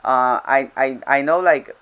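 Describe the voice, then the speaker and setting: A woman's voice: very deep voice, unhurried, a bit bright, clean tone. A woman whose first language is Spanish, chatting over gameplay in a casual voiceover. She rambles and interrupts herself, a little frazzled. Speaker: game vlogger.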